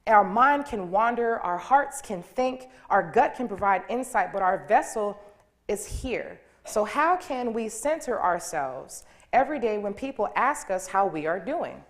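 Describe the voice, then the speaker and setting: A woman's voice: mid-range voice, animated, measured pace. A woman speaking as a preacher in an informal, reflective, conversational style, with emphatic phrasing. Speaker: guest speaker giving a sermon. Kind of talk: conversational inspirational sermon.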